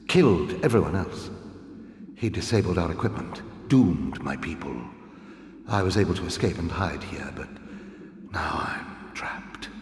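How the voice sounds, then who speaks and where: An actor playing a weary, dying male engineer of an otherworldly race in a video game, speaking in a halting, solemn way with mournful nobility. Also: deep voice, exaggerated voice. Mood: grim, sorrowful. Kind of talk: video game cutscene acting. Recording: echoey hall with long reverb.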